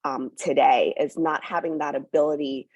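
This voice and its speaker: Husky, low-voiced woman talking eagerly. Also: steady tone, normal speed, darkish tone, no echo, dull audio. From born in Hong Kong, raised in New York. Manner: enthusiastic, many fillers. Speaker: hotel representative. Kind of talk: promotional interview.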